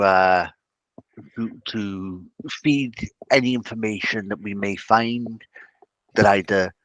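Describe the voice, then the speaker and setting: Low-voiced, halting, evasive cleric acted by a man. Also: fairly bright tone, no echo, lo-fi sound. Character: mild, evasive male cleric offering bureaucratic comfort.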